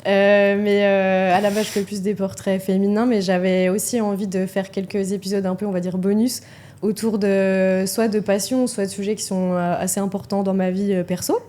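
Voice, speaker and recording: A woman's voice: medium pitch, little pitch movement, normal speed, warm tone. A young adult woman hosting a podcast interview, speaking casually and hesitantly with many fillers. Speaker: podcast host.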